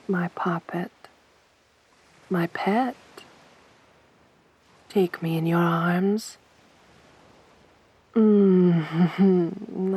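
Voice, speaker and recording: A woman playing a possessive, teasing siren in a soft, breathy voice for an ASMR roleplay, with a seductive, toying manner. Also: mid-range voice, low-quality recording. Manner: slow, seductive, toying, assertive. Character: beautiful sadistic siren, winged monster woman, teasing, possessive.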